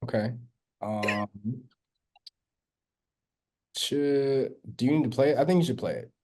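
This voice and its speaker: Slow, mellow-voiced man. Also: medium pitch. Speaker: podcast co-host. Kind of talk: casual music chat.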